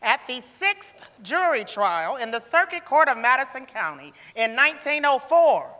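A woman's voice: high voice, very animated, normal speed, bright, forceful tone, heavily acted. A woman playing a formal narrator, giving declamatory scripted narration in a stage reading. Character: formal female narrator of a court history. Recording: reverberant theatre hall.

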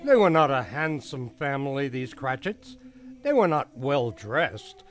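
A man playing a compassionate, expressive narrator, reading scripted narration in a radio play. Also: mid-range voice, a little slow, faint music behind. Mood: reflective, tender.

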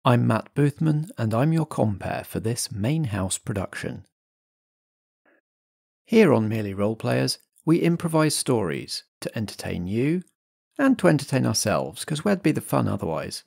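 Gravelly, theatrical man compering. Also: mid-range voice, very animated, normal speed, darkish tone, no room sound, clean studio sound. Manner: theatrical, dramatic. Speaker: host and compere. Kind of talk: scripted podcast introduction.

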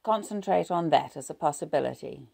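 A woman voicing a calm, official male police officer who speaks briskly and reassuringly. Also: sits low, creaky, full character voice.

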